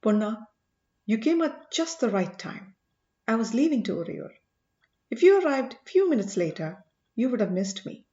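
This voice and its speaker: An earnest, probing sage voiced by a woman narrator with a high voice and measured authority. The delivery is emphatic audiobook dialogue. The recording is muffled, with plenty of room echo. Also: very animated, warm tone. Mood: eager. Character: commanding male sage, earnest, probing, mildly excitable.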